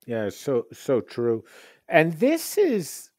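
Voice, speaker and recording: A man's voice: on the high side, wildly swooping pitch, drawn-out words, a little mellow, dry recording. Guy hosting a podcast, bouncy sing-song delivery, dry close sound, keeps checking in with his guest. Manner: affirming, asks for confirmation. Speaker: podcast host. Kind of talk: conversational interviewing.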